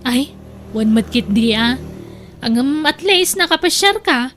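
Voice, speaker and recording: A woman plays a nosy cousin in an audio drama. The voice is very high, with a chatty, inquisitive manner. She gossips and teases as she asks her questions. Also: lively intonation, measured pace, a bit bright. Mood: curious, teasing. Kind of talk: Ilocano audio drama acting.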